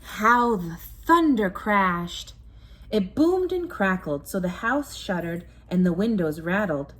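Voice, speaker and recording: A woman's voice: mid-range voice, expressive, takes it slowly. A woman in her thirties reading a children's picture book aloud at home, with dramatic emphasis on the sound words. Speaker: mother reading a bedtime story. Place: room at home, indoors. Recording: noisy room, home recording.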